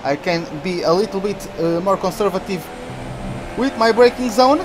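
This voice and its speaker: A man from Portugal, streaming a racing game and chatting excitedly through the action. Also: high-pitched, moderate pace, background noise. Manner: chatty, reacts excitedly to the race. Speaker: gaming streamer. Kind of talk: live game commentary.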